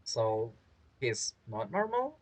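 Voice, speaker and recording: A woman giving casual commentary as she plays a game, halting and thinking aloud as she goes. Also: very deep voice, sing-song, darkish tone. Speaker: game streamer.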